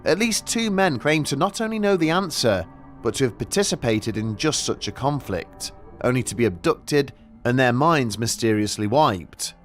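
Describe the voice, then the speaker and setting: Male documentary narrator over music. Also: medium pitch. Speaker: narrator of a mystery podcast. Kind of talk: scripted documentary storytelling narration. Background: background music.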